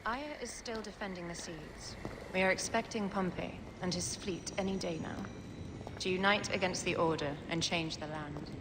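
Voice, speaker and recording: An actress playing a regal, calculating Egyptian queen in a game, composed, deliberate and commanding. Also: lowish voice, noisy background, lightly acted. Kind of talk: video game cutscene acting.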